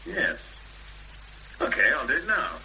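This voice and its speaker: A man's voice: fairly high pitch, very animated, a little slow, vocal fry, pushed and bright, full character voice. A man playing a practical, sceptical young fiancé in a 1970s radio drama, matter-of-fact and clipped in conversation. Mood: sceptical, firm. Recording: faintly hissy 1970s radio broadcast.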